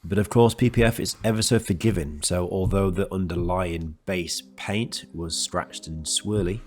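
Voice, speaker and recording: A man's voice: sits low, moderate pace. A man with a cold, sounding nasal and congested, narrating his car detailing video in a methodical, explanatory way. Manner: methodical, explanatory, lists steps one by one. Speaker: car detailer and channel host. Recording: voice-over with loud music underneath.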